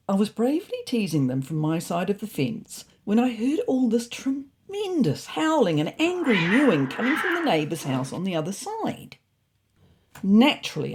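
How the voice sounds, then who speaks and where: A woman's voice: fairly low pitch, darkish tone, husky, exaggerated voice. A woman voicing a fussy, neurotic male ginger cat who narrates a comic storybook diary in a prim, indignant, self-important way. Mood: earnest, self-important.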